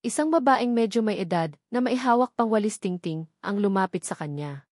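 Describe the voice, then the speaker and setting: A woman's voice: medium pitch, animated, normal speed, darkish tone, no echo. A woman with a Filipino accent reading a dramatic scripted story as an audiobook narrator, in a dry recording.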